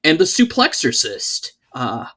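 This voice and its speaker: A man voicing a dim, good-natured pro wrestler who speaks slowly and haltingly, with a lot of uh. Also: on the high side, wildly swooping pitch, bright, forceful tone, full character voice. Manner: slow, halting, lots of uh. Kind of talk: improvised tabletop role-play.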